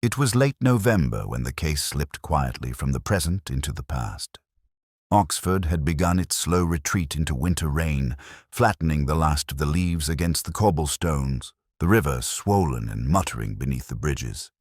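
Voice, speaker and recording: Man acting a weary melancholy detective, extremely low voice, expressive rise and fall, measured mystery narration, close dry sound. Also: dry recording. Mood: wry, reflective. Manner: brooding, measured, literary phrasing. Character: weary, melancholy male police detective recounting a case. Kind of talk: first-person literary mystery narration, audio drama.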